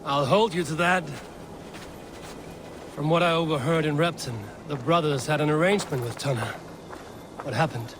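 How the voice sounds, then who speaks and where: An actor playing a stoic Viking warrior in a video game, speaking in a terse, direct and dry manner. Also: male voice, a little high, normal speed, pauses often, background noise, natural voice. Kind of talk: video game cutscene acting.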